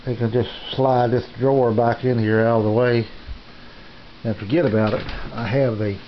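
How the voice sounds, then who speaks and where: Halting, plain-spoken man on lo-fi audio. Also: medium pitch, fairly even intonation. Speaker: amateur radio hobbyist giving a tour. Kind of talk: casual unscripted equipment tour. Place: small home radio shack, indoors. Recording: dull lo-fi camera audio, faint noise.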